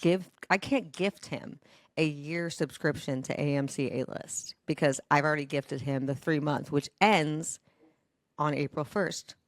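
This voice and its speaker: A woman with a low voice hosts a casual podcast. She talks in an animated, dramatic way and swears freely. The sound is very dry and close. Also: a little slow, dry recording. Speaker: podcast host. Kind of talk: casual chat, storytelling.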